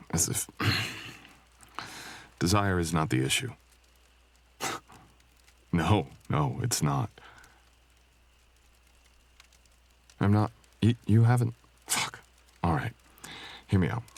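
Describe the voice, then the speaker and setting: Mysterious dragonkin stranger for romantic ASMR drama, played by a man: deep, gravelly, gentle, courtly and hesitant. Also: animated, slow pace, long pauses, heavily acted. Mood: flustered. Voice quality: low, gravelly, husky. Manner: soft, hesitant, tender, archaic courtly phrasing. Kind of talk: intimate roleplay ASMR audio acting.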